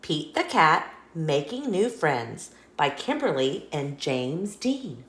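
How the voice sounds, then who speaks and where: A woman reading a children's story aloud, expressively and with deliberate phrasing for young listeners. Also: sits low, very animated, echoey room. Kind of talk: scripted read-aloud storytelling for kids.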